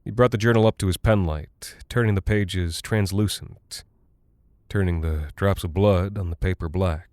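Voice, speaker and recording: An actor playing a rattled, folksy American cargo pilot who talks in a halting, confiding way, like a personal audio log in a horror drama. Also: male voice, deep voice, a little mellow, dry recording, studio quality, natural voice. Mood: uneasy, confiding. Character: weary, rattled male military cargo pilot, chatty and folksy.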